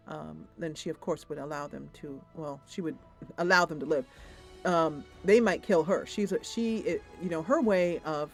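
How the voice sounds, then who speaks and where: A woman streamer improvising story narration over a video game in a loose, conversational way. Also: fairly low pitch.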